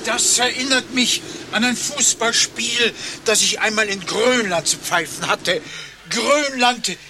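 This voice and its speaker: An actor playing a long-winded, doggedly optimistic former referee in a satirical German radio play, telling things in an emphatic, declamatory way. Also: male voice, very high voice, takes it slowly, pushed and bright, a little hiss or hum, full character voice. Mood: dramatic reminiscing.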